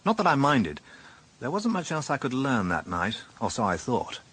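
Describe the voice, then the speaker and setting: A man's voice: mid-range voice, wildly swooping pitch, moderate pace, a bit bright, breathy edge, heavily acted. A British actor playing a dry, sardonic police inspector who narrates his own case in a radio drama. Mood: wry, reflective.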